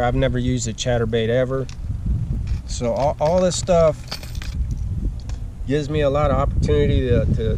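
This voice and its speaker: A man in his forties unboxing fishing gear outdoors beside a boat, talking in a laid-back, enthusiastic way with plenty of fillers. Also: medium pitch. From Arizona, USA. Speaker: fishing vlogger. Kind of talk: casual unboxing vlog. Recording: outdoor camera audio with background noise.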